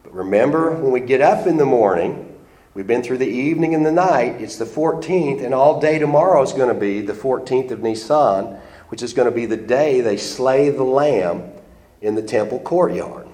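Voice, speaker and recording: A man's voice: mid-range voice, normal speed, warm tone, roomy sound. A congested man with the flu teaching a sermon and reading scripture aloud in old-fashioned wording. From United States. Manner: reads scripture aloud in King James wording. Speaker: Bible teacher.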